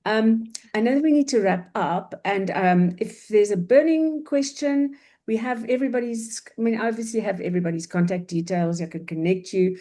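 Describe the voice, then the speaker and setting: A woman's voice: medium pitch, moderate pace. A woman whose first language is Afrikaans, moderating and graciously wrapping up a panel discussion over a video call. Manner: warm, gracious, thankful, with soft fillers.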